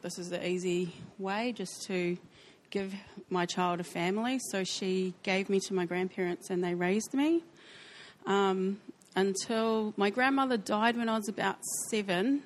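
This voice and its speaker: A woman with a New Zealand accent sharing her life story in a church interview, speaking softly and hesitantly with frequent pauses. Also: fairly low pitch, slight background noise. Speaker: church member sharing testimony. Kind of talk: personal testimony interview.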